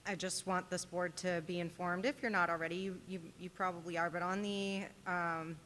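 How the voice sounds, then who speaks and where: A woman's voice: fairly low pitch, level tone, normal speed, a bit bright. A woman giving public comment at a school board meeting, earnest and pointed, with frequent hesitations. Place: town meeting room. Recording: reverberant meeting room with faint noise.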